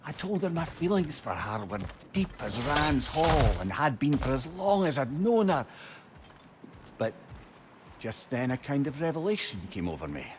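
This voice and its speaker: An actor playing a wry, self-doubting older advisor in a video game, speaking in a wordy, lyrical way. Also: male voice, mid-range voice, moderate pace, background noise, lightly acted. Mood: regretful. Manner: wordy, lyrical, expressive storytelling. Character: wry, eloquent, self-doubting older male advisor, warm with his friend. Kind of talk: dramatic video game acting.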